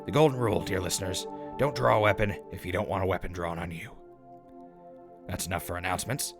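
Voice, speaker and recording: A man portrays an easygoing ghoul radio host in a post-apocalyptic drama. The voice sits low and rasps with fry. The patter is calm and friendly, over clearly audible music. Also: quick pace. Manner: calm, affable, conversational.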